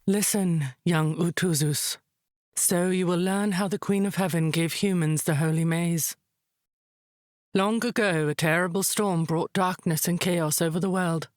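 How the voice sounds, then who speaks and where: Calm, low-voiced wise high priestess, played by an actress. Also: female voice, no echo, clean studio sound, close to an everyday voice. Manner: measured, deliberate, solemn. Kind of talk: measured mythic storytelling in a visual novel.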